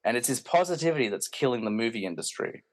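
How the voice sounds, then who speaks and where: A man's voice: medium pitch, expressive, fast, lightly acted. Man playing a pompous, bitter villainous film snob. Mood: aggrieved ranting. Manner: pompous, ranting, self-important. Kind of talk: hobby podcast radio play acting.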